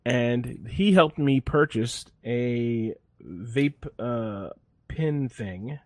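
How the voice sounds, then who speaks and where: A man's voice: medium pitch, expressive, drawn-out words, slight background noise. A man chatting casually on a podcast, telling a rambling, laid-back story full of fillers and restarts. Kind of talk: casual chat.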